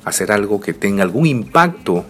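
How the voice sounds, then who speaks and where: A man in his thirties talks straight to the listener in a deep, low voice that still rises and falls a lot. He sounds reflective and keeps asking rhetorical questions, with faint music playing underneath. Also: very animated, normal speed. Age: 30s. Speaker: motivational speaker. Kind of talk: motivational talk to the listener.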